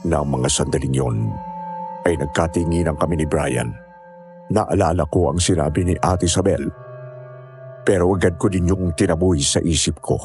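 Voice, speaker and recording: A man narrates a scripted horror story in the first person. Soft music plays behind him. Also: low-pitched, normal speed, pauses often.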